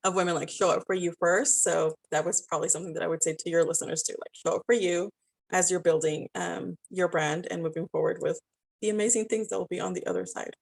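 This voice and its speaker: A woman's voice: fairly low pitch, fairly even intonation, fast, vocal fry, rough recording. Encouraging woman with a Spanish accent. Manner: encouraging, informal. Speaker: podcast guest, style coach and network marketer. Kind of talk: conversational interview advice.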